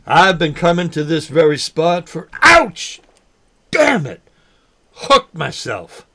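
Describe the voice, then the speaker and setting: Older male actor as a folksy, halting fisherman, slow pace, highly animated swings, naturalistic drama. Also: exaggerated voice. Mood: pained, exasperated. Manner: halting, folksy, mild exclamations. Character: forgetful old man, folksy, nervous, teasing lifelong fisherman. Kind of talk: naturalistic short film drama acting.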